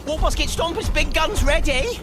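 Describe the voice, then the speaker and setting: A little gretchin goblin servant for a game cinematic. The voice is extremely high, squeaky and eager to obey, with loud music over it. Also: male voice, expressive, moderate pace. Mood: eager, obedient. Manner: shrill, obedient. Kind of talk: video game cinematic acting.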